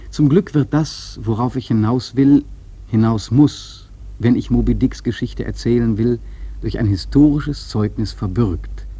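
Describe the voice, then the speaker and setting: An actor playing an earnest, reflective seafaring narrator in a radio play, with measured, rhetorical literary delivery and a husky, mellow voice. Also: male voice, mid-range voice. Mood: earnest, explaining.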